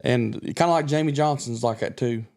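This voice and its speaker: A man's voice: medium pitch, normal speed, vocal fry, darkish tone. Self-assured Kentucky-raised man, podcast guest. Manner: self-assured, rambling with restarts. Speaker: guest country singer. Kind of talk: casual podcast chat.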